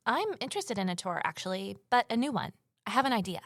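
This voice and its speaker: A determined woman investigator in an audio drama. Her medium-pitched voice is brisk and persuasive, recorded very dry, as she drives her mystery forward. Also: expressive, fairly bright tone, dry recording. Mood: eager, persuasive. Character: determined, resourceful amateur sleuth woman, narrating her mystery. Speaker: narrator and lead character. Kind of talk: audio drama narration and dialogue.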